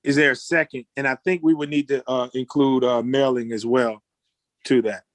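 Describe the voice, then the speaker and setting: A man's voice: medium pitch, steady tone, normal speed, no room sound. Dry-sounding man chairing a meeting. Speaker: chairman of a public board meeting. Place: virtual meeting.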